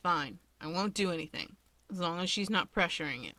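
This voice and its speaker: Grumpy protective big brother for an audiobook , read by a woman: haughty, blunt, bright dry recording. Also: fairly low pitch, expressive, a little fast, no echo. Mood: grudging.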